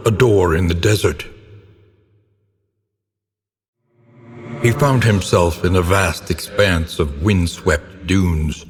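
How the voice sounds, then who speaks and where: An actor playing a grave, ominous narrator of gothic horror tales in a video game, with a solemn, theatrical and deliberate delivery. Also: male voice, low voice. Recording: long cavernous reverb, noticeable background noise.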